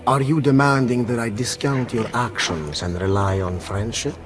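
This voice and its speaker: An actor playing a ruthless Italian warlord in a video game, imperious, mocking and theatrical, with an Italian accent. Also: male voice, medium pitch, steady tone, normal speed, lightly acted. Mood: mock gentle. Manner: theatrical, mock-gentle turning to commanding. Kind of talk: dramatic video game cutscene acting. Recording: loud music behind the voice.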